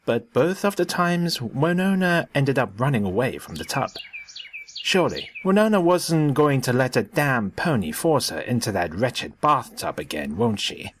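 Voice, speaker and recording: A man narrating a scripted story in an expressive, theatrical way. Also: mid-range voice, normal speed, a little hiss or hum.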